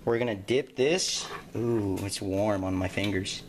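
A man's voice: on the low side, unhurried, roomy sound, a little hiss or hum. A young man filming himself cooking in a home kitchen, explaining casually and swearing with cocky confidence. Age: young adult. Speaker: home cook vlogger. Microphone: handheld camera nearby.

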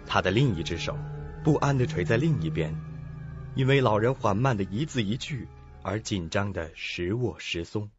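A man's voice: on the low side, lively intonation, moderate pace. A man narrating a scripted suspense radio drama as storyteller. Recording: dull lo-fi audio with faint music.

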